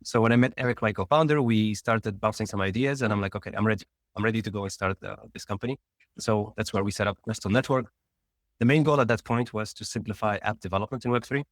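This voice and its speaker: A man from Tunisia, a startup founder, telling his career story as a guest in a casual interview, rambling quickly with restarts. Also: fairly low pitch, steady tone, dry recording.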